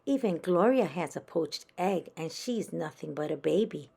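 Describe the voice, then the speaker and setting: A woman voicing a picky little girl animal in a children's storybook, with a plaintive, sing-song, drawn-out delivery. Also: lowish voice, animated, gravelly, mellow, breathy edge, heavily acted.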